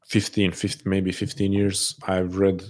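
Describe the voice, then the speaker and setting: A man speaks English with a non-native accent that listeners often take for Russian. His voice is very low and quite even in pitch. He talks softly and hesitantly into a desk mic, restarting his sentences as he answers a live chat. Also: unhurried. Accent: non-native English, often taken for Russian. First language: not English. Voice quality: soft-spoken, hesitant, many restarts. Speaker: livestream host answering chat.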